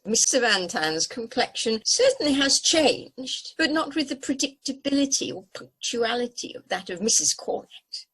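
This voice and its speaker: Woman playing a haughty, high, husky talking cat. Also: sing-song, normal speed, few pauses, a bit bright, heavily acted, low-quality recording. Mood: drily cutting. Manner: formal, drily cutting. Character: talking house cat, haughty, tactless, coolly indiscreet. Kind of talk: radio play acting. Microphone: video call. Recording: home recording over video call.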